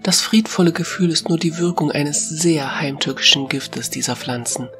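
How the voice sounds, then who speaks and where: A woman voicing a wise, self-assured alpha female of talking forest beasts in a fantasy audio drama, with a deep, feminine voice and a calm, gently admonishing manner. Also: moderate pace, music in the background.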